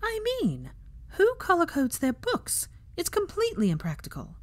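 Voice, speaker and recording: A woman's voice: high-pitched, huge pitch swings, normal speed, voice pushed up. A woman voicing a kindly, no-nonsense young woman in an audiobook, earnest and articulate. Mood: exasperated amusement. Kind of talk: fan fiction audiobook character voice.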